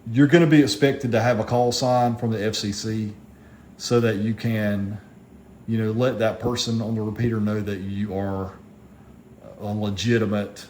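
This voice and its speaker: A man's voice: fairly low pitch, darkish tone. A man casually reviewing gear as a radio hobbyist, plainspoken and rambling as he explains things. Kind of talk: casual product review vlog. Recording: echoey room, faint background noise.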